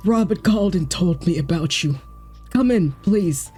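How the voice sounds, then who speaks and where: Older mob-boss detective, high pitch, dark husky tone, hammy, comic storytelling. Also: male voice, animated, moderate pace, faint music behind. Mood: welcoming, hammy. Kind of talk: comic character voice in a story reading.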